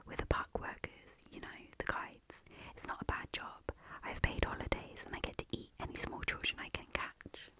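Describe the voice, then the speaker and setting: A woman's voice: moderate pace, close to an everyday voice, rough recording. A woman whispering the lines of a horned male monster park worker with mock-polite menace. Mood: joking.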